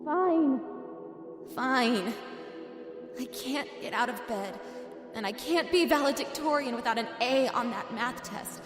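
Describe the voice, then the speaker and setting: A woman's voice: high voice, animated, measured pace, long pauses. A woman playing bickering teenage twin sisters in an audio drama, sarcastic and exasperated, in a roomy reverberant recording. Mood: tense bargaining. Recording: roomy long reverb under loud music.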